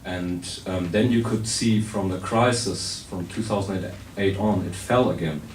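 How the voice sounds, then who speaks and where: Soft-voiced low man with a sore throat. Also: unhurried. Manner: blunt, wry, with frequent restarts. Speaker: speaker at a public debate. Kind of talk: informal speech to an audience. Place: hall with an audience. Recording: roomy, distant hall recording with faint noise.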